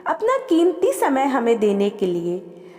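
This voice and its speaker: A woman reading a scripted, emotional family story as an expressive storyteller. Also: on the high side, a little slow. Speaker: storyteller and channel host. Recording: long reverb with music behind. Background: background music.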